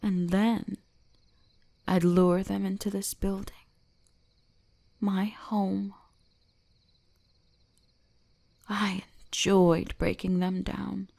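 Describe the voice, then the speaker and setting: Woman voicing a slow, seductive kitsune shrine maiden. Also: medium pitch, vocal fry, dark tone, no echo. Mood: seductive, menacing. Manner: slow, intimate, teasing, often dropping into whispers. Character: seductive, teasing kitsune shrine maiden, gently dominant, soul-eating fox spirit. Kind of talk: ASMR roleplay.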